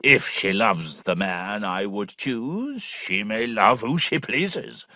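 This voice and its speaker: A man reading an audiobook, voicing a blustering, hot-tempered old country squire who speaks in loud, abrupt exclamations. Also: lowish voice, animated, takes it slowly, dry recording, studio quality, heavily acted. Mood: pleased. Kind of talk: audiobook character voice.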